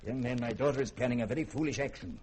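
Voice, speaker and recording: A man's voice: mid-range voice, very fast, a little mellow, close to an everyday voice. A man playing a proud, tormented older scientist and father with formal, theatrical diction in a 1950s radio drama. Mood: brooding. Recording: old radio recording with faint noise.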